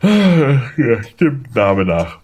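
A man's voice: mid-range voice, very animated, very slow, a little mellow, breathy edge, full character voice. A man playing a bewildered, anxious lawyer who doubts his own mind, in a questioning, unsettled way, in an audio drama. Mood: wry. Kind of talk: German audio drama acting.

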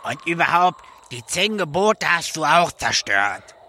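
Exasperated desert prophet, fairly high voice, very sharp, nagging, sarcastic, whiny, comic radio drama. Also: male voice, very animated, a little slow, a little hiss or hum, exaggerated voice. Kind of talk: comic radio play acting.

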